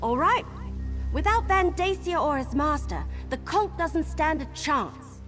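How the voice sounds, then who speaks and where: A woman's voice: high-pitched, expressive, a little slow, fairly bright tone, soft background music. A woman playing an upbeat, eager adventuring companion in a fantasy game, chatty and enthusiastic. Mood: upbeat, relieved. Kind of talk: scripted fantasy game dialogue.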